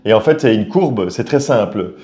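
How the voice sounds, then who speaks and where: A man's voice: fairly low pitch, lively intonation, moderate pace, mellow. A middle-aged man, a family vlogger, chatting casually to camera and explaining things step by step.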